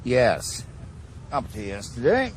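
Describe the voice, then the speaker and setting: A man's voice: mid-range voice, wildly swooping pitch, takes it slowly, slight background noise. An actor playing a weary retired policeman turned private eye in a radio drama, dry and laconic. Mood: wry. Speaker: private investigator. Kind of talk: 1980s radio drama acting.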